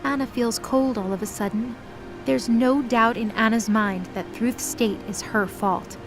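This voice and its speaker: High-pitched woman narrator over music. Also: steady tone, a little slow. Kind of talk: scripted audio drama narration.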